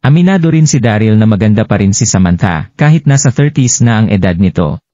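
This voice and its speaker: A man's voice: on the low side, lively intonation, a little fast, warm tone. A Filipino man narrating a scripted, dramatized story as its storyteller. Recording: dull lo-fi, roomy echo.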